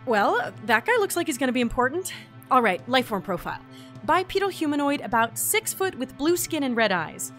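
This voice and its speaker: A woman acts a curious, excitable agent recording a mission log. Her high voice swoops expressively and informally, with music clearly behind it. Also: fairly quick. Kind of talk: scripted audio drama acting.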